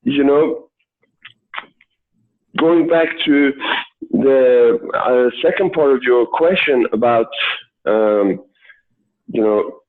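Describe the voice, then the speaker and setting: Male interview guest, hesitant and filler-heavy, mid-pitched, phone-quality audio. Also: very slow, pauses often. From Sweden. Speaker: guest, Persian yoga instructor. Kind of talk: casual interview answers. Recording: tinny phone-like line.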